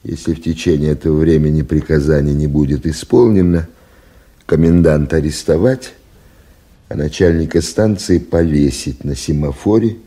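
A stern ruthless general. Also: male voice, moderate pace, mellow. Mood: commanding. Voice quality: low, husky, commanding. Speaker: actor in an audio play. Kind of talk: 1970s stage play audio recording.

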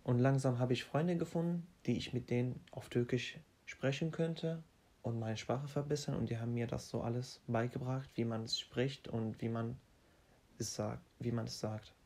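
Young man, halting. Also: mid-range voice, fairly even intonation, darkish tone. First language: Arabic. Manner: halting, restarts often, simple phrasing. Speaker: interviewee. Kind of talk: casual interview.

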